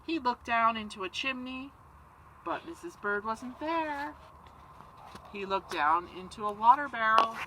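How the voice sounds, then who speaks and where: Bright-voiced woman reading to children. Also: a little high, slight background noise, poor audio. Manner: expressive, simple child-directed phrasing. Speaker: story-time reader for children. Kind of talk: children's storybook reading and chatty explaining.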